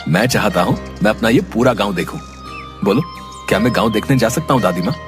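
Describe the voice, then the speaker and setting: A carefree young grandson from a cartoon story talks with a bouncing, lively pitch, as a man voices him. He sounds breezy and shrugs things off. Music plays clearly underneath. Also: fast talker, natural voice. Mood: eager. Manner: breezy, dismissive. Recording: background music.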